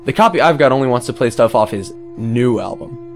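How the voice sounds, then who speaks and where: Man acting a flippant, animated smug music pirate. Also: mid-range voice, a little fast, gravelly. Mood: indignant, flippant. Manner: flippant, self-justifying, rhetorical questions. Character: smug callous male music pirate defending himself. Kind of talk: scripted comic monologue.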